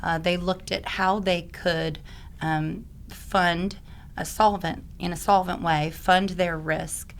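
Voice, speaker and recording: A woman from Oklahoma, a guest on a podcast, speaks with a raspy, slightly hoarse voice. Her pitch sits low and stays fairly even. She explains things in a friendly, fluent way, dotted with ums. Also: takes it slowly, slight background noise. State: hoarse from allergies. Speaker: podcast guest, risk advisor and attorney. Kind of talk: conversational podcast interview.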